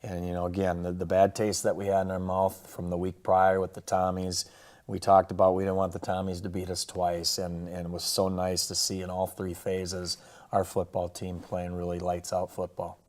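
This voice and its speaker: A man with an American accent, a football coach talking casually through a game recap in a plainspoken, halting way. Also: deep voice, completely flat delivery, a little mellow. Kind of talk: casual sports recap and highlight commentary.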